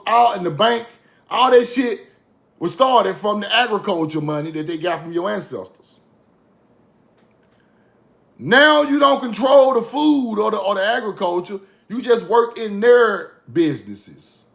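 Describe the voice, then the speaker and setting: Man, high voice, fiery lecture, blunt, profane. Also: vocal fry, fairly bright tone. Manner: blunt, repetitive, profane, preachy.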